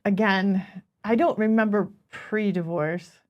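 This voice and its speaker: A woman's voice: mid-range voice, steady tone, a little slow, highs cut off. North American woman reminiscing casually.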